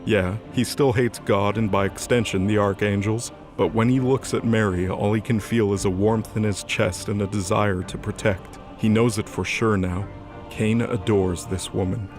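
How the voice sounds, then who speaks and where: Male narrator, fairly low voice, measured scripted fantasy storytelling over clear background music. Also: fairly even intonation.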